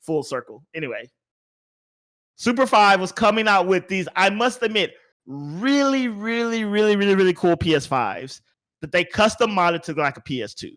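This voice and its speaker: High-voiced man hosting a casual podcast. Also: sing-song, normal speed, fairly bright tone. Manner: emphatic, repeats words and phrases for emphasis. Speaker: podcast host. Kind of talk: casual opinionated chat.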